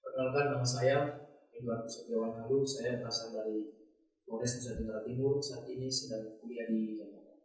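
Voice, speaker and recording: A man's voice: medium pitch, flat delivery, darkish tone. A male university student on a discussion panel, talking in an emphatic way with many fillers. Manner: fast, filler-heavy, emphatic. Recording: very echoey studio.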